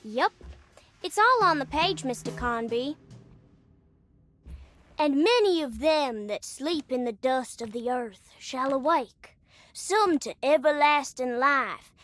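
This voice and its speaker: A woman's voice: high-pitched, expressive, takes it slowly, fairly bright tone, no room sound, heavily acted. A female performer playing an eerie, solemn young girl in a horror game, guarded and knowing in a childlike way. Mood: matter-of-fact. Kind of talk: cinematic game dialogue acting.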